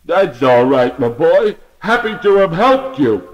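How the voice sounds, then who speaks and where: A man's voice: a little high, big pitch swings, a little slow, dull audio, pitched above the performer's own voice. A man voicing a kindly male horse who sounds stuffy and frozen in a storybook. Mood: chilly. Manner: stuffy, chilled, gentle.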